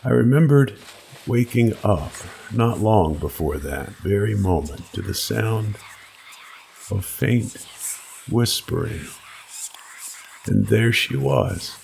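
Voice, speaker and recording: Male horror narrator: deep, heavily gravelly, slow and brooding, dramatic scripted read over faint ambient noise. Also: lively intonation, a little mellow, poor audio. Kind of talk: dramatic scripted first-person creepypasta narration.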